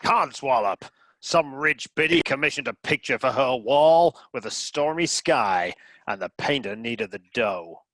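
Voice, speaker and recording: Man playing a forceful, brash rich American. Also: medium pitch, animated, measured pace, no room sound. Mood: brash, dismissive. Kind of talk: comic radio play acting.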